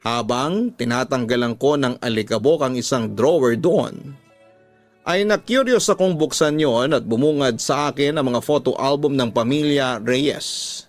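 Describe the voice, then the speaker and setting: A man's voice: medium pitch, unhurried, faint music behind. A man with a Filipino accent reading a listener's letter as a scripted, dramatic radio love story.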